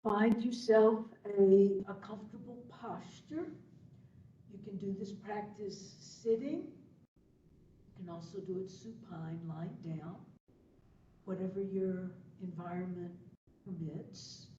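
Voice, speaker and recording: Very slow woman in a roomy, distant recording. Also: medium pitch, mellow. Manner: unhurried instruction in short phrases with long pauses. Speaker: meditation and yoga instructor. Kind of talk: guided meditation. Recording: roomy, distant, dull, faintly noisy.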